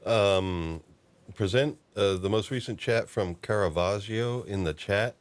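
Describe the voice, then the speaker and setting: A man hosting a casual fan discussion about lore, talking haltingly as he thinks aloud. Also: on the low side, clear, resonant tone. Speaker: podcast host.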